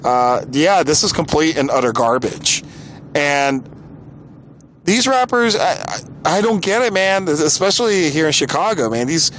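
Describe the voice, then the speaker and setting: Higher-voiced American man with noisy background. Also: very animated, a little slow, fairly bright tone. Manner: exasperated, informal and emphatic. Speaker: podcast host. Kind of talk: casual opinion commentary.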